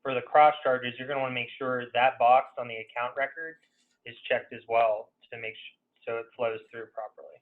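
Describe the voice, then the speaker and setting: A man's voice: medium pitch, level tone, a little fast. Man presenting over a phone-like line. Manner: conversational, hesitant, peppered with "you know". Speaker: consultant presenting a software webinar. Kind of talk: informal software demo walkthrough. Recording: narrow phone-like webinar audio.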